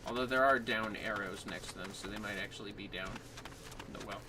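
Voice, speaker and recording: A man's voice: lowish voice, fairly quick, far from the mic, noisy background. A man chatting casually on a gaming livestream, guiding his partner as they play. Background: game music.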